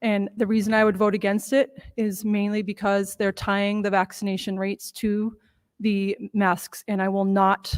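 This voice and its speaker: A woman's voice: mid-range voice, completely flat delivery, normal speed, smooth, no room sound. A woman and parent speaking earnestly and firmly at a school committee meeting. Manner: earnest, personal, firm.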